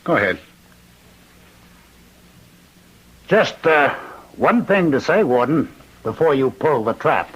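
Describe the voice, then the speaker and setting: An actor playing a taunting condemned killer in an old radio drama, mocking and theatrically calm. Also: male voice, mid-range voice, normal speed, husky, muffled recording. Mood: mocking, ominous. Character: taunting condemned killer, man claiming to be immortal Ripper. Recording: noisy old radio recording with clicks.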